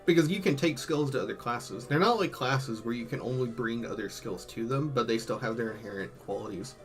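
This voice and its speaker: A man doing casual, slangy live-stream commentary, thinking out loud, his voice a bit worn from hours of talking. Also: mid-range voice, a little fast, soft background music. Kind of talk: casual live-stream commentary, ranking game tier lists.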